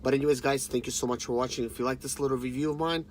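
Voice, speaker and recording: A man in his thirties with a medium-pitched voice hosts a casual review. He speaks off the cuff, haltingly and informally, addressing viewers directly. There is noticeable background noise around his voice. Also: steady tone. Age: 30s. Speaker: review channel host. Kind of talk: casual unscripted product review. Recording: clearly noisy background ambience.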